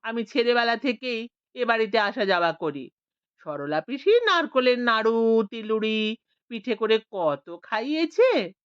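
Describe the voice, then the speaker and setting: A woman voicing a calm, reasoning middle-aged village man in a Bengali audio story, speaking in a measured, persuasive way. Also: fairly high pitch, smooth, no echo, lo-fi sound.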